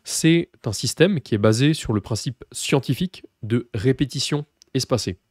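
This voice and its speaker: A French man who teaches Russian, explaining his course in a relaxed way with many fillers and restarts. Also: medium pitch, moderate pace, dry recording, clean studio sound. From France. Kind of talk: course presentation to camera.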